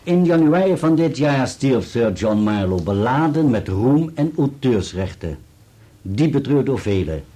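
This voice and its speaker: An actor playing a precise, formal solicitor in a radio play, with an articulate delivery. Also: male voice, mid-range voice, sing-song, measured pace, mellow. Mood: declamatory, precise. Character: dutiful male solicitor reading an article aloud, precise and formal.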